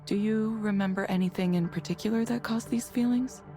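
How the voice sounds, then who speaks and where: Actress as a calm, mellow woman counselor. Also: mid-range voice, fairly quick, soft background music, lightly acted. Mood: calm, neutral. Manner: even, neutral, gently probing questions. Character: calm, measured female counselor for an AI program. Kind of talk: scripted visual novel game dialogue.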